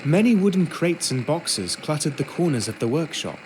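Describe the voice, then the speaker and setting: An actor playing a polite, earnest young ship's steward in a period video game, speaking in articulate, measured words. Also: male voice, fairly high pitch, big pitch swings, noisy background. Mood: reflective, wry. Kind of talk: video game character acting and first-person narration.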